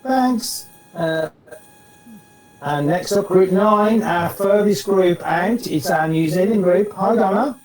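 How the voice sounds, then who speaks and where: A man hosting an online event, introducing each coach in turn with brisk, upbeat remarks. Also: high-pitched, echoey room, over a noisy crowd, rough recording.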